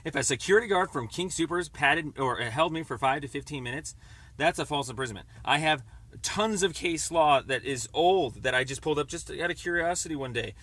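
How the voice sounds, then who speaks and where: A man calling to make a complaint, explaining at length in a rambling, halting, stammering way over the phone. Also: a little high, fairly bright tone. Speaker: caller making a complaint. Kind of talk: phone conversation. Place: on a phone call.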